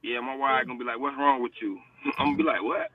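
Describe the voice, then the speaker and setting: A man's voice: mid-range voice, steady tone, fast, phone-quality sound, over a noisy crowd. A married man on a casual panel debate, joking and teasing the others. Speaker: panel member.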